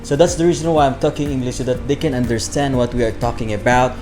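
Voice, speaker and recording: A Filipino-accented man of middle pitch hosts a food review vlog. He talks in a chatty way, repeats himself and fills pauses with fillers. Clear background music runs under his voice. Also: fairly even intonation. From Philippines, Bohol. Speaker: food vlogger.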